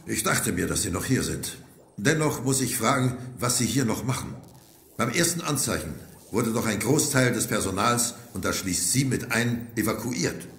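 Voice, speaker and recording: A gravelly male commander in a sci-fi audio drama. He is polite, authoritative and concerned, with animated intonation in a roomy space. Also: mid-range voice, normal speed. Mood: concerned, firm. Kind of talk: German audio drama acting.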